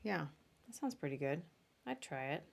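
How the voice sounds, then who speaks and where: Lower-pitched woman hosting an interview. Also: big pitch swings, normal speed, creaky. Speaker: podcast host and interviewer. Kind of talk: casual interview on a live video show.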